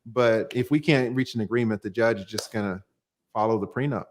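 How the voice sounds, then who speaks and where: A man's voice: on the low side, fairly quick. A man, a divorce attorney, explaining the law in a plain-spoken way on a call-in livestream and using everyday examples.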